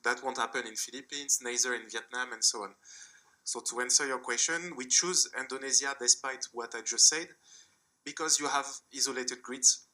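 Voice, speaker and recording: Bright-voiced French man on a panel. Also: medium pitch, steady tone, low-quality recording. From France. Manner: measured, explanatory, with small restarts. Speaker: panelist, hydrogen developer. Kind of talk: conference panel answer. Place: conference hall panel.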